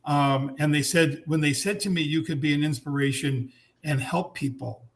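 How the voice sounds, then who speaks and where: A man's voice: a little high, normal speed, a little hiss or hum. A man in his seventies, a podcast guest who tells his life story in an easy, reflective way with many fillers. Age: 70s. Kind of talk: casual chat.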